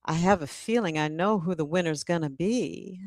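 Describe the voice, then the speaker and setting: A woman's voice: fairly low pitch, normal speed, dry recording, studio quality. A woman hosting a casual livestream event as its publisher, speaking informally to a guest and viewers. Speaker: host, publisher.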